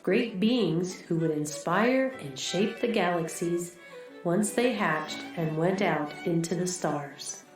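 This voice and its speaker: An actress playing a solemn recorded museum guide, telling a myth in scripted storytelling style. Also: female voice, fairly low pitch, slow. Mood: solemn, mythic. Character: solemn recorded female museum exhibit narrator. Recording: heavily echoing, music underneath.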